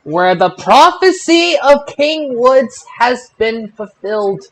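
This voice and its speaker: A podcast host recapping wrestling results casually, in a halting way that often repeats phrases. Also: mid-range voice, sing-song. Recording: noisy amateur podcast audio.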